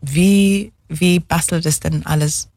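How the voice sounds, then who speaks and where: A woman from England, speaking German as a second language with an English accent, talks haltingly in a casual interview, searching for words and slipping in English ones. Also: sits low, steady tone, darkish tone, dry recording, studio quality. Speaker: guest, single mother.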